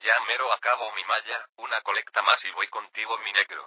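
A fond gang member played by a man leaves a slangy voice message. The voice is lowish and husky, with harsh, dry, filtered sound. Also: fairly even intonation, brisk, bright, forceful tone, dry recording, heavily acted. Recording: thin, harsh voice message playback.

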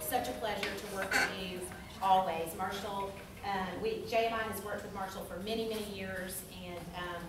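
A middle-aged woman with a Southern accent addresses a room in a loose, friendly way, pausing on fillers. She is heard from far off through a camera, the classroom ringing with echo and a steady hiss behind her. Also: mid-range voice. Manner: friendly, chatty, many fillers. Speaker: think tank representative. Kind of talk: informal welcome speech. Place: university classroom.

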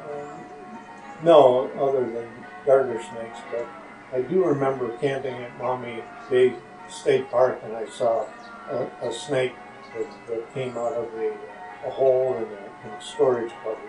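An older man answers casual questions as a podcast guest. His phrases are halting and unhurried. The sound is echoey, with clear music playing behind him. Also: mid-range voice, fairly even intonation. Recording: roomy echo, music behind.

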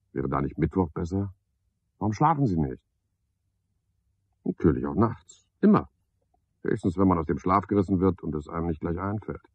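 Radio play: sardonic, pompous night caretaker, theatrical and very animated, dry, slightly dull vintage studio recording. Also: male voice, deep voice, creaky, husky, no echo, exaggerated voice. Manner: pompous, sardonic, theatrical one-sided conversation. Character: cynical, self-satisfied, talkative male night caretaker. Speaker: radio drama actor. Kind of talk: 1970s German radio play monologue.

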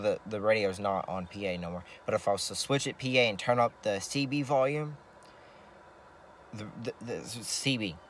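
A man with a lowish voice talks slowly through a casual gear demonstration. He is halting and rambling, often repeating himself, with some vehicle noise around him. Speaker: vlogger showing CB radio gear.

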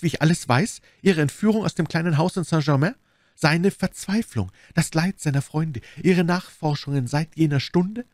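A man's voice: a little high, a little mellow, no echo, clean studio sound, pitched above the performer's own voice. A man voicing a scheming, effusive noblewoman in a dramatic audiobook. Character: scheming adult noblewoman, false, intense, gushing.

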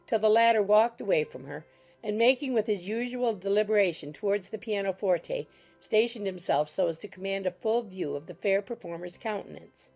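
A woman's voice: medium pitch, moderate pace, gravelly, darkish tone, faint music behind. A woman reading scripted literary narration as the narrator of an audiobook.

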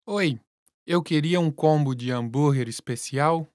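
A man reading scripted lesson dialogue in a plain, relaxed way. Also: on the high side, unhurried, dry recording, clean studio sound. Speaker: language lesson voice actor.

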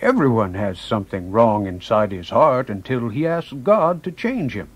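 A man playing a kindly, gentle, wise old woodcarver with a husky voice in a children's story drama. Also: medium pitch, lively intonation, moderate pace. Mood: gentle, earnest.

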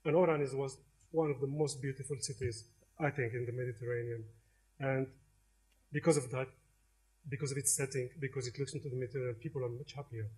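A man's voice: mid-range voice, steady tone, fast, poor audio. Middle-aged Algerian-born man lecturing. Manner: warm, conversational, with frequent hesitations and restarts. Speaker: professor giving a lecture. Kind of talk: inaugural academic lecture. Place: lecture hall.

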